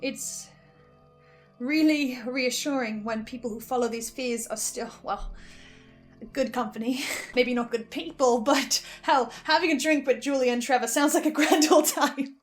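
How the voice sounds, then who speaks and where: A woman hosting a story recap, giving scripted commentary with expressive, sardonic theatrical asides. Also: high voice, unhurried. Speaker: host of a story-recap show. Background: faint music.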